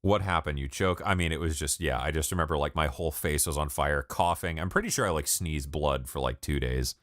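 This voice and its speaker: A young man with a very low voice rambles through loose, profane chat on a live stream. He laughs often, and his voice sounds dry and close to the mic with no room around it. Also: fairly quick, fairly bright tone, dry recording. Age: 20s. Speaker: music producer streaming live. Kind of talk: casual livestream chat with viewers.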